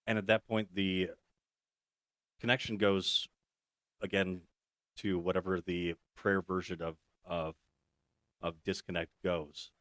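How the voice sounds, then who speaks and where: A man running a tabletop game as game master, narrating in a relaxed, unhurried way with fillers. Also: sits low, no echo.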